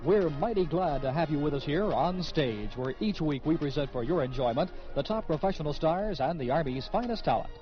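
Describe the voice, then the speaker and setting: A man's voice: a little high, expressive, a little fast, few pauses. A man with an American accent reading scripted radio narration and announcements as the host of a show. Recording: dull vintage radio recording with music. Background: music.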